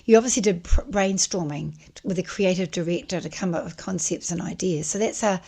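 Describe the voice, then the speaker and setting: A woman hosting a podcast, hoarse after a flu, who keeps encouraging her guest. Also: lowish voice, a little fast, darkish tone. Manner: encouraging, affirming interjections. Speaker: podcast host. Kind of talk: casual interview conversation.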